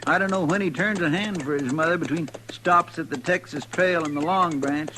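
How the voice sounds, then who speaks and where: Man acting a higher-voiced folksy American frontier sidekick. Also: normal speed, lightly acted. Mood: folksy, concerned. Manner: rustic drawling idiom, deferential. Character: folksy, plain-spoken, respectful frontier deputy sidekick. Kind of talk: old-time radio western acting. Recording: 1950s radio recording, faint noise.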